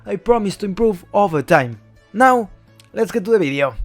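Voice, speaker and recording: A man's voice: high-pitched, lively intonation, moderate pace, dry recording, faint music behind. A young man from Spain with a Spanish accent, giving blunt, opinionated scripted commentary on esports teams as a YouTuber. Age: young adult.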